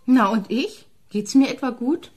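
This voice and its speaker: Sarcastic woman with animated delivery. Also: on the high side, a little slow. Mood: snappish. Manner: biting, sarcastic, emphatic. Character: sharp-tongued, sarcastic woman gallery owner, angry and unintimidated. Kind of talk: German sci-fi radio drama acting.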